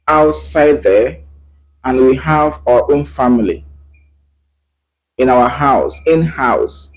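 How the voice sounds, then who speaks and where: A man's voice: fairly high pitch, a little mellow, low-quality recording. A man with a Nigerian Igbo accent, teaching as a language host, speaking earnestly, code-switching between English and Igbo and checking in with rhetorical questions. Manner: rhetorical questions and pauses with fillers. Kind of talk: talking to camera about language.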